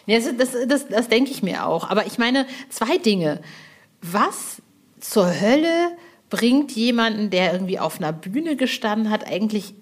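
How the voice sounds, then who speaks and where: A woman with a fairly high voice hosts a casual podcast conversation. She speaks informally and colloquially, jumping in with questions and reactions. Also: lively intonation, a little slow. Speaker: podcast interviewer. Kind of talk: casual chat.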